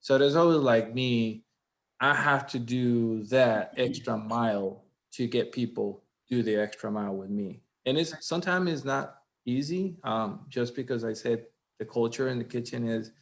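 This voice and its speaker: A man from Costa Rica with a Costa Rican accent, an executive chef answering interview questions earnestly in slightly halting English. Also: mid-range voice, vocal fry. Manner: earnest, fast bursts, halting non-native grammar. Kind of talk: casual interview talk.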